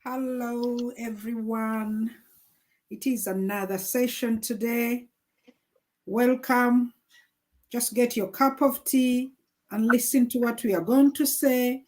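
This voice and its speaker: Slow, calm woman from Uganda. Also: a little high. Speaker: social worker and panelist. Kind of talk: casual panel chat. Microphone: video call.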